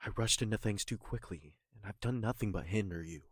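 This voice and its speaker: Casting: man playing a guilt-ridden lover in audio drama, deep and husky, halting, apologetic. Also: no room sound, studio quality. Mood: regretful, pleading.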